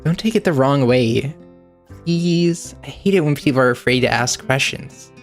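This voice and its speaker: A loud, cheerful schoolgirl full of eager bounce, put on by a man reading aloud. She talks fast and friendly, her voice lifted high above a plain speaking range. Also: animated, faint music behind, natural voice. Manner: bouncy, eager, laughs loudly.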